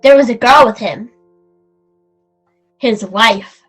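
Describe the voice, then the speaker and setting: A woman's voice: fairly high pitch, slow pace, slightly rough, faint music behind. A woman giving scripted narration for an old-time style radio play.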